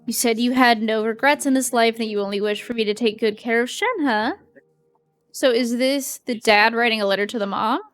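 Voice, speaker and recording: A woman streaming a game, with a fairly high voice. She comments casually and reacts informally to the story as it unfolds. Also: fairly quick, faint music behind.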